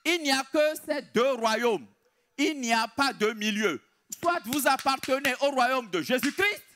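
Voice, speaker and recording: A man preaching a sermon in an emphatic, deliberate way, repeating his key phrases. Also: extremely high pitch, a bit bright.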